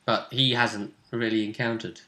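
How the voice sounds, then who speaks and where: A man's voice: on the low side, little pitch movement, normal speed, pushed and bright, echoey room. A British man chatting casually and emphatically as a game master recapping a tabletop role-playing game.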